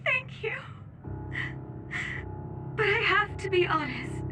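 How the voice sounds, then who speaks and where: An actress playing a fragile, gentle young woman in a video game, despairing and apologetic. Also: very high-pitched, very animated, slow, over music, exaggerated voice. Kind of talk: English video game voice acting.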